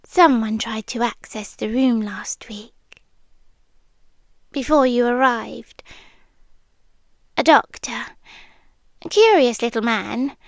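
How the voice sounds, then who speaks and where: A British woman playing a possessive, unsettling yandere girlfriend in an ASMR roleplay, softly and breathily, often slipping into a whisper. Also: fairly high pitch, no echo, studio quality. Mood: quietly menacing. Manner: slow, deliberate, lingering pauses. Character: possessive, unsettling yandere demi-human girlfriend, tender yet menacing. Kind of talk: ASMR roleplay, slow intimate delivery. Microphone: close microphone, dry.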